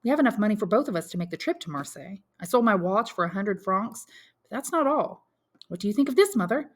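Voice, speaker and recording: A woman's voice: medium pitch, sing-song, quick pace, voice pushed up. A woman voicing an earnest, resolute young man who firmly reassures his mother in an audiobook. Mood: earnest, bright. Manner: firm, reassuring, insistent. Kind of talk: audiobook character dialogue.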